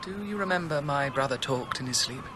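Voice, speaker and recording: An actor playing a grave, guarded British gentleman in a radio drama, with a husky voice and a measured, sombre manner. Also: medium pitch, expressive, noisy background, close to an everyday voice. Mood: grim. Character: grave, guarded gentleman, steadfast confederate in a plot.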